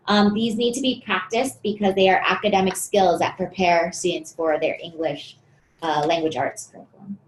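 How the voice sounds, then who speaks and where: A woman presenting a teaching webinar, explaining activities steadily and often pausing on fillers. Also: lowish voice, steady tone, reverberant, a little hiss or hum, poor audio.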